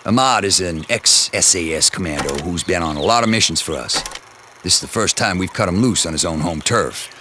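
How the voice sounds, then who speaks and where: An actor playing a stern male commanding officer, delivering grave, clipped briefings over loud noise. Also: low-pitched, animated, fairly quick. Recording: loud noise under game audio.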